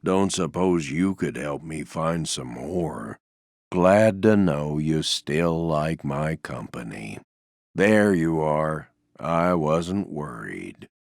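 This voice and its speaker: Gloomy old toy donkey for a video game, super low gravelly drawl, deadpan n resigned. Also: male voice, no echo, clean studio sound, heavily acted. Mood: mildly pleased. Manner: slow, glum, deadpan, understated, trailing off. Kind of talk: video game character voice lines.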